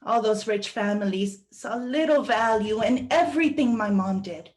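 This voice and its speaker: A woman reading a first-person monologue aloud as a guest reader, with a deliberate, earnest storytelling delivery. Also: fairly high pitch, lively intonation, a little mellow.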